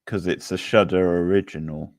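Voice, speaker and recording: Bloke chatting on a quiz stream, slow and rambly, croaky voice, really dry close sound. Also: male voice, sits low, fairly even intonation, no echo. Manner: unhurried, rambling, replying to viewers. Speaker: livestream quiz host. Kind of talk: casual livestream chat.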